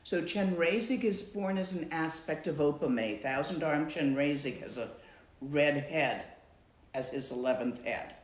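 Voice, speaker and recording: A woman teaching meditation and answering a student's question in a slow, measured way. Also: low-pitched, reverberant. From Latvian background. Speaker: Dzogchen teacher.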